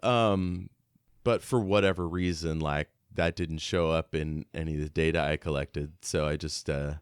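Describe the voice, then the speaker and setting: Very deep-voiced man, halting and casual. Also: fairly even intonation, clean tone, dry recording, clean studio sound. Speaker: podcast co-host. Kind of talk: casual book discussion with read-aloud excerpts.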